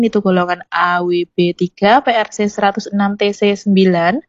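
A hoarse woman on the staff of a blood donor unit, calling in to a radio show and reading out schedules and lists of stock figures in a friendly way. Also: mid-range voice, no echo, highs cut off. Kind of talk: radio phone-in report.

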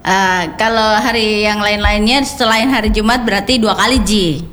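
A woman's voice: medium pitch, steady tone, normal speed, crowd noise. A woman hosting a casual call-in radio show, chatty and playful, laughing often. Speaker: radio host.

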